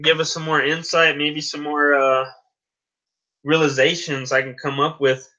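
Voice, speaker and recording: A man's voice: on the high side, little pitch movement, measured pace, pushed and bright, lo-fi sound. A man guest-hosting a casual podcast, earnest and insistent, repeating his points. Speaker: guest host.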